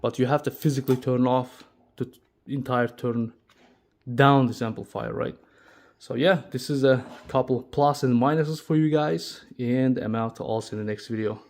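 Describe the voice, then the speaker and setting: A man who speaks English as a second language gives a casual, unscripted product demo as a video host, talking haltingly and checking in with short tag questions. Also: mid-range voice, fairly even intonation, a little mellow. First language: not English. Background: music playing, amplifier fan.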